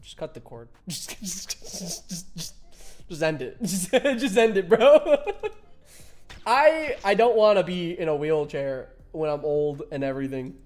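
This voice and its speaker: A man's voice: high-pitched, animated, unhurried, a little mellow. A man in his twenties chatting casually with viewers while streaming a game, laughing often and reading chat aloud. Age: 20s.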